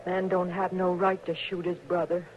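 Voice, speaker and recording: An actress playing a grim, resolute frontier woman in a 1950s radio drama, speaking flatly. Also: on the low side, moderate pace, slight background noise, close to an everyday voice. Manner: flat and quietly determined. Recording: dull old radio recording.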